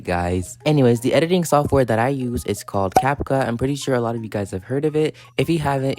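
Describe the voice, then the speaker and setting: Man, medium pitch, casual YouTuber voice-over, chatty tutorial delivery. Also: a little mellow. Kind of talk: scripted video tutorial voice-over.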